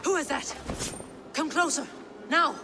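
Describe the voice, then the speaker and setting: A woman's voice: high voice, measured pace, noisy background. An actress playing a wary Norse woman, shaken after an attack, who talks in a guarded and urgent way in a game cutscene. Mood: alarmed. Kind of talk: video game cutscene acting.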